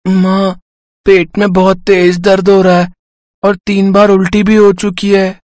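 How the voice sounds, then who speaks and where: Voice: medium pitch, little pitch movement, a little slow, darkish tone, dry recording, clean studio sound, lightly acted. An adult performer voicing an innocent, plaintive six-year-old boy for a cartoon story.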